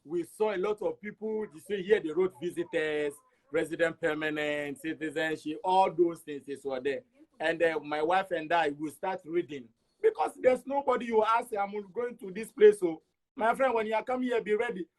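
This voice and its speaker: Higher-voiced Togolese man telling his story. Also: normal speed, rough recording. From Togo. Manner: repeats words for emphasis, acts out quoted dialogue. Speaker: interview guest. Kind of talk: animated personal storytelling.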